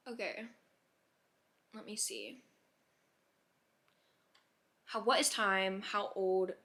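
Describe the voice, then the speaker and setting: A woman's voice: medium pitch, bright, forceful tone. A young woman of about eighteen in a casual, rambling, reflective chat to viewers, musing and questioning herself with lots of "like". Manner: musing, self-questioning, heavy use of "like". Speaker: vlogger.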